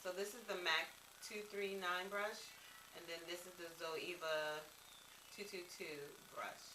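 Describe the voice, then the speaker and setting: Woman, lowish voice, slightly congested, chatty rambling review, roomy echo, camera mic from a distance. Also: fairly even intonation, measured pace, background noise. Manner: chatty, rambling, frequent ums and restarts. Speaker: beauty vlogger. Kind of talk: casual product review.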